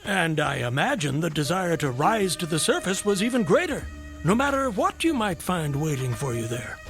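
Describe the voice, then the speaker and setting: Courteous, nosy traveller, high lilting voice with big swings, wry and genteel, radio drama with music bed. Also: male voice, big pitch swings, normal speed, a bit bright. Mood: intrigued, admiring. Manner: genteel, wry, probing, theatrical. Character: curious, amused, courteous traveller listening to strange tales. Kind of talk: radio drama acting, conversational questioning. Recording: music under the voice. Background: background music.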